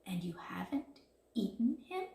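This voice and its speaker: A woman voicing a fussy, excitable mother duck in a children's storybook. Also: mid-range voice, unhurried, vocal fry. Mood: doubtful. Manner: quacking, crying out. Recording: roomy, echoing sound.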